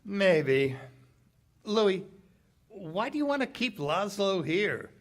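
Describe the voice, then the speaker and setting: Man acting a cynical, slow-talking nightclub owner. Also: high-pitched, big pitch swings, exaggerated voice. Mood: wry, guarded. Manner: terse, wry. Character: cynical, guarded American nightclub owner, tough, dry. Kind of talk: 1940s radio theater acting.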